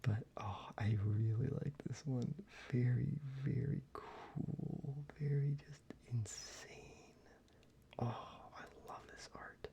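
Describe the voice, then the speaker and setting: A man talking through his card collection in a soft-spoken ASMR video, mostly whispering in a relaxed, casual way with the odd swear word. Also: mid-range voice, takes it slowly, creaky. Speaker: ASMR creator. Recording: slightly dull, faint background noise.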